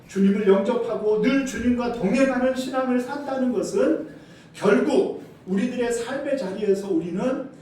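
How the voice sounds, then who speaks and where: A middle-aged Korean man, a senior pastor, preaching a sermon, asking the congregation questions and swinging between loud emphasis and quiet asides. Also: very high voice. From South Korea. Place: church sanctuary. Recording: echoey hall from far off, faint noise.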